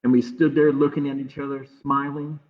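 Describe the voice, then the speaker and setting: A man reading a picture book aloud to children with measured, expressive pauses. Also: mid-range voice. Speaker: library storytime reader. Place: livestream from a closed-library online program. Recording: muffled phone-like streaming audio.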